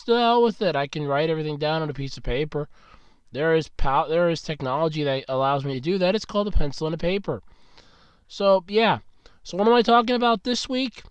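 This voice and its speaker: A sick man is losing his voice as he hosts his podcast, speaking right up against the mic in a dry, close sound. His voice sits fairly high yet comes through smooth and clear. He talks frankly, grumbles, and drops casual swears. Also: dry recording. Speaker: podcast host. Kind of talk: casual solo podcast talk. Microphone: very close mic, about two inches away.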